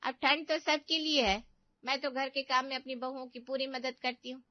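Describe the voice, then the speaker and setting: A woman performs a meddling older aunt from a cartoon family tale. Her voice sits fairly high and she nags with overdone sympathy, always finding fault. The sound is very dry and a little muffled. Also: little pitch movement, normal speed, no echo, close to an everyday voice. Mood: scolding. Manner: complaining, lecturing, exaggerated sympathy. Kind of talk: Hindi family cartoon story voice acting.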